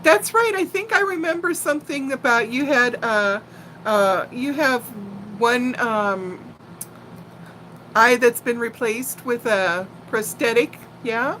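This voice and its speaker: A woman in her sixties chatting casually as a live stream host, telling personal stories in a playful, animated way. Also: on the high side, slow, a little hiss or hum, rough recording. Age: 60s. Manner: animated, playful storyteller who laughs easily and often. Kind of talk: casual chat and personal storytelling.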